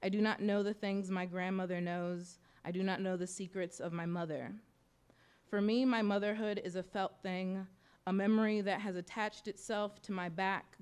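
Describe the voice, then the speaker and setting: A young American woman reading her own personal essay aloud at a bookstore event, in a measured, reflective way. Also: medium pitch, clear, resonant tone. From United States. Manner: measured, reflective, softly expressive delivery. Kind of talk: literary reading of personal prose. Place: bookstore event in front of an audience.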